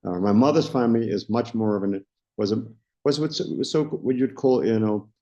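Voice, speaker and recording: A South African man around sixty, a company founder answering interview questions in a measured way, often restarting as he searches for words. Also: lowish voice, warm tone. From Johannesburg, South Africa. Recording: dull lo-fi recording.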